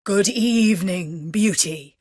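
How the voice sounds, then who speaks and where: A woman voicing a gruff but courteous male beast in a storybook, speaking slowly and politely. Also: medium pitch, darkish tone, no echo, clean studio sound. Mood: courteous, earnest.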